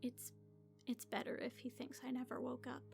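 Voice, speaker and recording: A woman's voice: high-pitched, monotone, normal speed, creaky, darkish tone, faint music behind. A woman playing a shaken but defiant young hospital patient who narrates her own story in an audio drama. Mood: defiant, upset. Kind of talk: audio drama acting with first-person narration.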